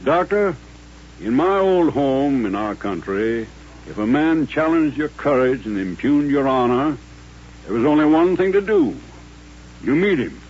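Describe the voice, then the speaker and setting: An actor playing a proud, devout old colonel with formal, measured, courteous speech in a radio western. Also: male voice, medium pitch, lively intonation, darkish tone, close to an everyday voice. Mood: firm, resolute. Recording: muffled old radio recording with hum.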